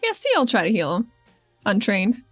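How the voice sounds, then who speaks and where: A woman's voice: mid-range voice, wildly swooping pitch, normal speed, fairly bright tone, no room sound, soft background music. A woman playing a tabletop roleplaying game, casually narrating what her character does.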